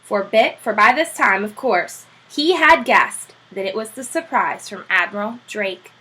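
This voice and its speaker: A woman's voice: medium pitch, unhurried, husky, rough recording. A woman teacher reading a children's chapter book aloud, telling it expressively. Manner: expressive, animated storytelling.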